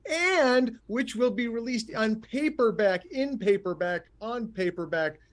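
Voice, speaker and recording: A man's voice: very high-pitched, expressive, unhurried. A man co-hosting a podcast, reading an introduction in a jokey way and laughing as he corrects himself. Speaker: podcast co-host. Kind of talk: casual podcast chat.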